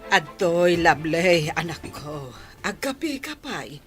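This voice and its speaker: A woman voicing an older, devout grandmother who gives her daughter patient advice, with a husky voice. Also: lowish voice, very animated, takes it slowly, a bit bright, faint music behind, full character voice. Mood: soothing.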